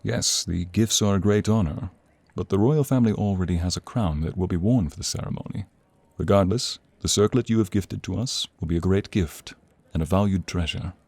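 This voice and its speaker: A man playing a devoted, regal king in love in a romantic ASMR audio drama, speaking intimately in a breathy voice with courtly phrasing. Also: brisk, a little mellow, no echo, full character voice. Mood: regal, formal. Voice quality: deep, breathy, often whispering.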